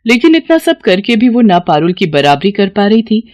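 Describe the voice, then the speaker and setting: Woman, story narrator, scripted moral tale, middle-pitched voice. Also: sing-song, normal speed, darkish tone, no echo.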